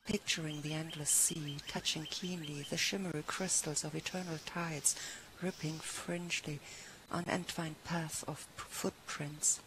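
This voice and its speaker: Low-voiced woman reading poetry, noisy recording. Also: fairly even intonation, unhurried. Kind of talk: poetry recitation. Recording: clearly noisy recording with ambience.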